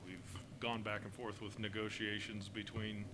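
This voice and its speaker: A low-voiced man speaking in an even, nearly flat monotone, explaining things steadily with many fillers. He is at a desk microphone in a city council chamber. The recording carries a clear hiss of background noise. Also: normal speed. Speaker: fire service official. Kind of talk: answering questions at a council meeting. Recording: noisy meeting room recording.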